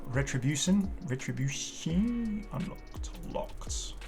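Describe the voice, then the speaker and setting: A man's voice: a little high, sing-song, takes it slowly, music in the background. A man hosting a gaming channel, giving casual, unscripted commentary in a chatty, enthusiastic way and prone to exclaiming. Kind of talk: casual unscripted reaction commentary on game cosmetics.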